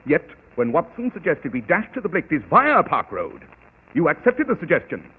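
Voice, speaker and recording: Arrogant master detective; incisive, husky, old broadcast. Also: male voice, a little high, wildly swooping pitch, heavily acted. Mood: cool. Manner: measured, precise, dryly cutting. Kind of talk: 1940s radio acting. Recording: tinny old radio broadcast.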